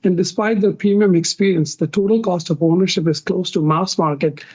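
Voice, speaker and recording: A man's voice: high voice, steady tone, a little fast, darkish tone. A man giving a formal, prepared financial presentation as a company executive on an earnings call. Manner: formal, reading from prepared remarks. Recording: dull, muffled conference call audio.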